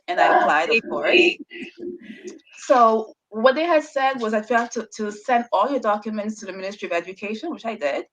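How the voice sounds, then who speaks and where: A woman's voice: fairly high pitch, low-quality recording. A woman researcher, a guest on an interview show, telling her story casually with a stutter, repeated words and frequent laughs. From Bahamas.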